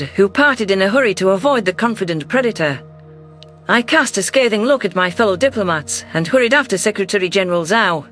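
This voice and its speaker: A male narrator reads a science fiction story in a steady, scripted storytelling voice. His pitch sits fairly high, with faint background music underneath. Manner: even storytelling delivery.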